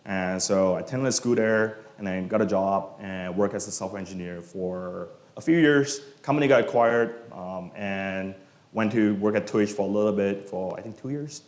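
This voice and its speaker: A man from Malaysia, a software engineer, answering questions at a meetup in a relaxed, casual way with many fillers, often trailing off quietly. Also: lowish voice, normal speed. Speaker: guest speaker, software engineer. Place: meetup event room. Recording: echoey room.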